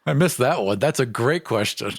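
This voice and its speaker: A man's voice: mid-range voice, expressive, normal speed, creaky, husky, studio quality. Casting: male podcast co-host, informal and conversational, reasons things out aloud, very little room echo. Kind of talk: casual chat, answering listener questions.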